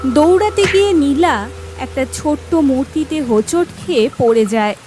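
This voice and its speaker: A woman's voice: high voice, lively intonation, normal speed, background noise. A woman reading scripted narration for a cartoon story.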